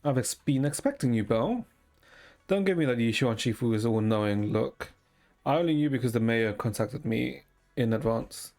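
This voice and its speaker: Male game streamer, medium pitch, reads on-screen dialogue aloud with casual asides over light game music. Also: animated, moderate pace, darkish tone. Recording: game music faintly behind.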